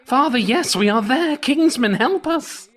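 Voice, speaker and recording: Panicked storybook devil, high-pitched, loud, shrill, strained, pleading. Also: male voice, animated, unhurried, heavily acted. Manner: wailing, pleading. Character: shrieking panicked devils begging for mercy.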